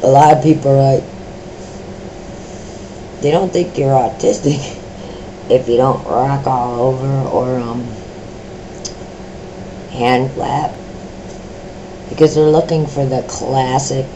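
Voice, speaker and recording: A middle-aged woman with a deep voice, sharing her own experiences in a personal vlog, speaking slowly with many pauses, ums and restarts. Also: steady tone, background noise. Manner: slow, halting talk with many fillers and restarts.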